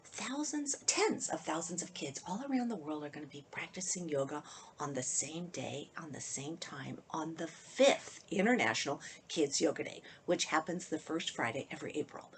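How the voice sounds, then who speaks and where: A woman children's author with a slightly husky voice, reading a storybook aloud to young listeners and chatting to them in an animated way. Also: low voice, moderate pace, a little hiss or hum. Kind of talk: casual intro and expressive storybook reading to children.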